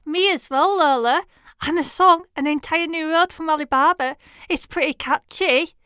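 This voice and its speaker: Woman acting an enthusiastic young woman, agreeable, piercingly high, dry close sound, audio play. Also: moderate pace, fairly bright tone, no room sound. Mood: cheerful.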